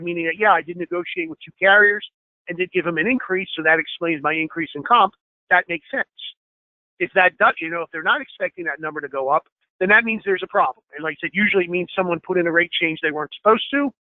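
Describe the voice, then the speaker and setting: A man leading a software training session over a screen share, explaining step by step with many fillers, slightly hoarse and clearing his throat. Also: on the high side, no echo. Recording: tinny phone-like line.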